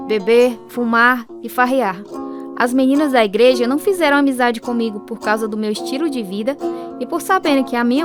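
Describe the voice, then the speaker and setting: An actress performs a young woman telling her own story in a radio drama. Her voice is on the high side, earnest and a little hesitant, with music clearly playing behind her. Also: natural voice. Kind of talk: dramatized first-person radio narration.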